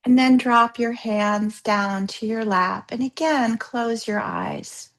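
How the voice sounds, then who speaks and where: Slow-paced woman guiding meditation. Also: fairly high pitch, poor audio. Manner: soothing, unhurried, gently instructing with pauses. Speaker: yoga therapist leading guided practice. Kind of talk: guided yoga nidra meditation.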